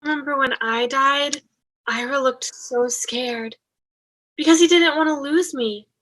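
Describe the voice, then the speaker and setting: A woman's voice: sits high, measured pace, fairly bright tone, low-quality recording. A woman in an amateur table read playing a dreamy, naive female ghost. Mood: wistful. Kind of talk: amateur table read acting over video call.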